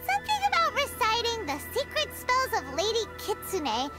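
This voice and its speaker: An actress playing a tiny, childlike, excitable and easily indignant girl companion in a video game, with a squeaky voice. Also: moderate pace, full character voice. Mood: curious, eager. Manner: exclamatory, speaks in third person. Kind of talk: video game character dialogue. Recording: loud game music behind.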